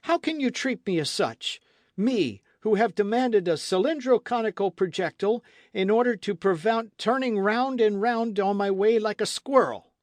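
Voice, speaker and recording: A man's voice: high voice, moderate pace, dry recording, studio quality, pitched above the performer's own voice, natural voice. A man reading a book aloud, voicing a bold, witty adventurer in a jaunty, confident manner. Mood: breezy. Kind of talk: audiobook character reading.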